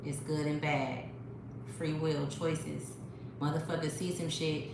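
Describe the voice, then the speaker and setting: A woman's voice: deep voice, little pitch movement, a little slow, creaky. A woman hosting a spiritual livestream, talking straight to her viewers in a profane, preachy way on a phone. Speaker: livestream host. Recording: very echoey room with noise.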